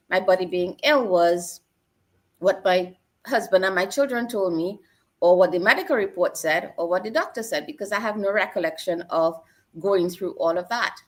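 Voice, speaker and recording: A middle-aged woman from Trinidad and Tobago, a guest telling her life story in an earnest, reflective way. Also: mid-range voice. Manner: earnest, personal storytelling with repeated phrases. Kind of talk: reflective interview talk.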